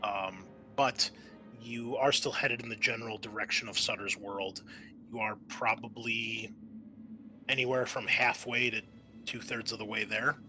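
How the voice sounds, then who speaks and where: A man improvising narration as game master of a tabletop game, in a halting, conversational way. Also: lowish voice, steady tone, bright, forceful tone, soft background music.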